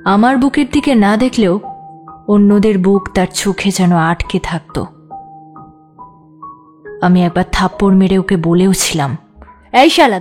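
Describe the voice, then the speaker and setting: A woman playing a wary, frank prostitute who confides her past in an audio story, sometimes sharp-tongued. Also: mid-range voice. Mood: scolding. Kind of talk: Bengali audio story acting.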